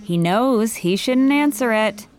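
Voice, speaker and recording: A woman's voice: a little high, lively intonation, a little slow, darkish tone. A woman performing composed, wry scripted narration as the storyteller of a comedy audio drama. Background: music.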